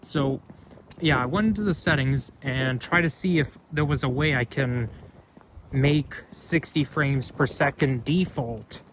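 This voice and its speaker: A young man with a loud voice, rambling and correcting himself as he talks through a casual walking vlog, recorded on a phone camera outdoors. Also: mid-range voice, a little slow, low-quality recording. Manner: rambling, chatty, self-correcting. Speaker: vlogger. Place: outdoors on a street. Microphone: phone camera held while walking. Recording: outdoor street noise.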